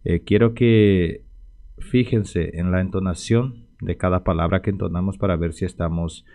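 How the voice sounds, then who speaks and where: A man from Paraguay with a low, mellow voice teaches a casual language lesson. He says each phrase slowly and repeats it carefully for his viewers, with a dark, warm tone throughout. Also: steady tone, vocal fry. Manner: slow, careful repetition of phrases.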